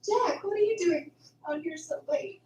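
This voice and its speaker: A woman's voice: extremely high pitch, moderate pace, a little mellow. A woman playing an anxious, secretive young farm woman in an amateur radio drama, speaking with urgent, emphatic insistence. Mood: upset, dismissive. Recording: roomy, distant mic, noisy background.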